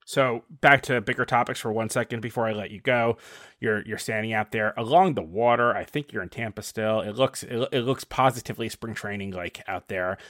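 A man's voice: fairly low pitch, fairly quick, fairly bright tone, dry recording, clean studio sound. A man hosting a podcast, joking with his guest and wrapping up the episode in a friendly, casual way. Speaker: podcast host.

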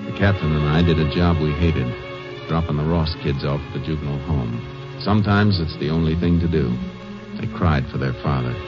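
Very deep-voiced, steady lawman. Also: normal speed, over loud music. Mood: somber. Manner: terse, matter-of-fact. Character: steady, thoughtful Texas Ranger investigator with a soft heart. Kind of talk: old-time radio drama acting and narration. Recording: muffled vintage radio recording.

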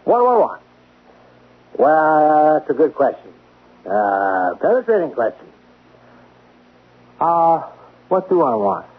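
Drunk, fervent talent manager, husky voice, tinny old radio recording. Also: male voice, fairly high pitch, very animated, takes it slowly, long pauses, heavily acted. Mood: mocking. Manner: slurring, pleading, intense. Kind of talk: 1940s radio acting.

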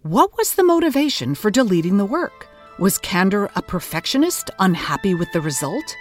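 An actress playing a thoughtful investigator who hosts and interviews in a documentary style, questioning and measured. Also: female voice, mid-range voice, sing-song, soft background music, close to an everyday voice. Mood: curious, reflective. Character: inquisitive, measured investigator of obscure culture, interviewer. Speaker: host and interviewer.

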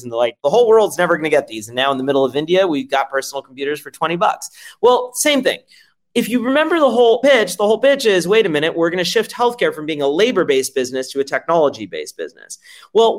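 Brief: male founder from France, fast and lively, fairly high voice, emphatic and profane. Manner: emphatic, profane, rhetorical questions. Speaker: startup founder guest. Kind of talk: energetic interview pitch.

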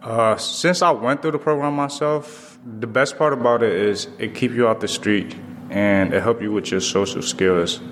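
Young man from vietnam, very low voice, simple phrasing, echoey hall. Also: moderate pace, fairly bright tone. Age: young adult. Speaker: former program participant. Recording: long reverb, faint noise.

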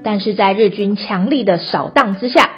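A woman narrator tells a scripted radio story in a Taiwanese Mandarin accent. Her pitch is mid-range. Faint music plays under a slightly muffled recording. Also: moderate pace, husky.

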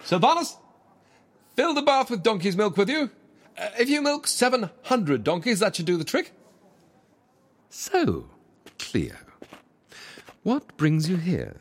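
Husky, wildly animated ancient schemer. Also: male voice, sits high, measured pace, pauses often, heavily acted. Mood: courteous, fussy. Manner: theatrical, flustered, courteous. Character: excitable, fussy ancient proprietor of secret agency. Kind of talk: comic audio drama acting.

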